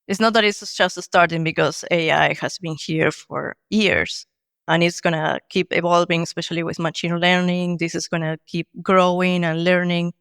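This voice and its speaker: Argentine woman, design lead guest, explanatory and clear, dry close sound. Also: fairly low pitch, steady tone, moderate pace, fairly bright tone, no room sound. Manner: explanatory, many fillers like "like". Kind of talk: podcast interview answers.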